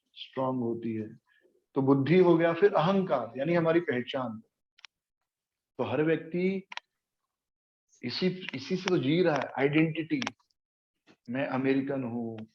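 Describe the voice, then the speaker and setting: A man giving an explanatory spiritual lecture as a teacher, asking his listeners rhetorical questions and mixing in English terms. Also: medium pitch. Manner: asks the listeners rhetorical questions and checks they follow. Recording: echoey room, dull lo-fi sound, faint noise.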